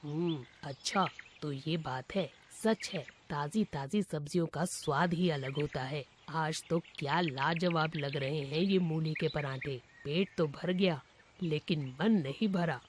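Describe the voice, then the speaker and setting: A woman plays a young lad who is a regular at a food stall in a cartoon tale. The voice sits on the low side for a woman, chatty and full of friendly appreciation, over faint noise. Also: measured pace. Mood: friendly, appreciative. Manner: familiar, chatty, complimentary. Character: friendly, appreciative young male student customer. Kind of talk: cartoon moral story voice acting.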